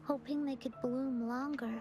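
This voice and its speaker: A woman voicing a sweet, wistful little girl in a video game, speaking earnestly and slowly in a childlike way. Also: sits high, steady tone, natural voice. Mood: wistful, sad. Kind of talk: scripted video game dialogue. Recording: game music behind the voice. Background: game music.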